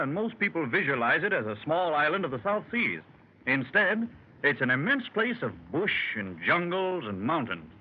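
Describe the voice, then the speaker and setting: A man's voice: a little high, husky. An actor playing a learned middle-aged explorer, telling his tale to fellow club members in a formal, old-fashioned way, in 1930s radio drama style. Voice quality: formal, clubby storyteller. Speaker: explorer recounting his expedition. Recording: muffled hissy 1930s radio transcription.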